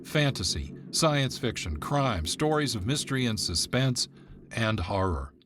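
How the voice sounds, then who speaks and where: A man's voice: mid-range voice, lively intonation, background noise. Man hosting a radio program. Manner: measured, informative, reads from a script. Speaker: producer and host. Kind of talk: scripted radio narration.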